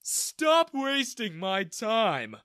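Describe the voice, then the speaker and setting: Guy doing a snooty bank boss for a story, super mocking, high and bright, swoops around. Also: wildly swooping pitch, extremely slow, clear, resonant tone, dry recording, clean studio sound, exaggerated voice. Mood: dismissive. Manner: haughty, mocking. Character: arrogant sneering male bank manager, mocking and loud.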